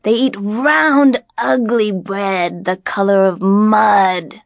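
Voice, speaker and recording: A woman voicing a suspicious, disapproving village neighbor in a folk tale, speaking in a deliberate, pointed way. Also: medium pitch, gravelly, dry recording.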